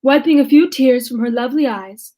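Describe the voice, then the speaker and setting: A woman reading aloud as a lonely male creature who tells his own story in a measured, formal way. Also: high voice, warm tone. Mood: earnest. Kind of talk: reading a classic novel aloud.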